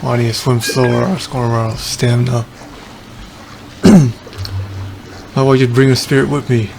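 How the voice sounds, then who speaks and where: A man's voice: mid-range voice, flat delivery, moderate pace, creaky, background noise. A man giving casual gameplay commentary as a streamer, thinking aloud as he plays. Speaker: game streamer. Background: game music.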